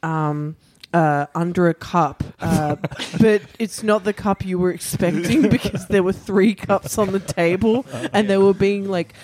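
A woman guesting on a podcast, chatting casually in a kitchen, halting and giggling as she searches for words. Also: mid-range voice, darkish tone. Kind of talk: casual chat. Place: rental kitchen.

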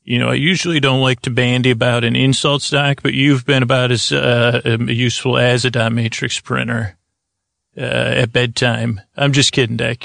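A man hosting a bedtime comedy podcast, improvising a meandering, halting, absurdist monologue in a creaky voice. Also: medium pitch, fairly bright tone, dry recording, studio quality. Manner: meandering, halting, absurdist one-sided conversation with an imagined doctor. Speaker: podcast host.

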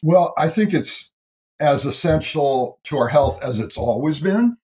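A man's voice: a little high, lively intonation, a little slow, a little mellow. A middle-aged man from the United States, a writer and health educator being interviewed on a podcast, explaining his ideas in a thoughtful way and often repeating words as he goes.

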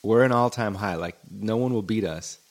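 A man's voice: on the low side, moderate pace. A man hosting a comedy podcast, interviewing playfully with inquisitive, mock-serious questions.

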